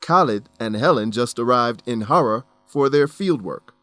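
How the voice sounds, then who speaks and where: A man's voice: mid-range voice, expressive, moderate pace. A man reading scripted narration as the presenter of an educational radio drama and English lesson.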